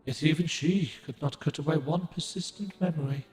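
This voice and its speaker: An actor voicing a solemn ancient guardian spirit of the moon in a game, speaking in a formal, archaic, gentle and measured way. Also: male voice, on the high side, echoey room, big-room sound, over music, lightly acted. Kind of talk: game cutscene dialogue.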